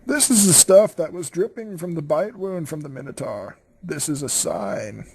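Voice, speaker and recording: A man's voice: on the high side, expressive, moderate pace, noisy background. A man voicing young cartoon pony fillies with stilted, theatrical delivery. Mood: dramatic. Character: young cartoon pony fillies chatting about their discovery.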